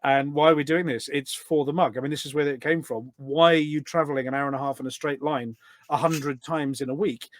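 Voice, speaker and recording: A man's voice: fairly high pitch, fairly even intonation, a little fast, no room sound. A man chatting casually as a podcast guest, telling anecdotes in a rambling, talkative way. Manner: chatty and rambling, acts out quoted remarks. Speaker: podcast guest and community radio show presenter.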